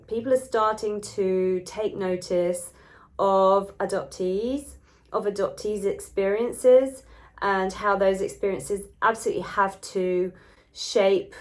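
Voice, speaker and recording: A woman with a stuffy, nasal voice from a cold, talking earnestly to her channel's viewers as host, with frequent ums and restarts. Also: mid-range voice. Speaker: adoption wellbeing researcher and channel host. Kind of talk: casual talk to camera.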